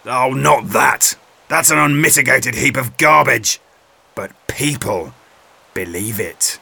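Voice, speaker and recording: A man's voice: mid-range voice, takes it slowly, gravelly, pushed and bright, breathy edge, no echo, pitched above the performer's own voice, full character voice. A man voicing a scheming, scornful sheriff with mocking, theatrical delivery in an audiobook. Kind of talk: audiobook character voice.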